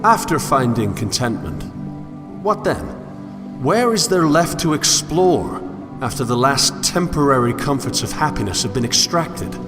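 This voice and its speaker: A man's voice: mid-range voice, big pitch swings, a little slow. A man playing a solitary, brooding wanderer who muses in formal, eloquent language in a scripted game monologue. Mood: contemplative, somber. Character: solitary, brooding male wanderer musing philosophically on a fallen world. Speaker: narrator. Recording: long hall reverb, music underneath. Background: music.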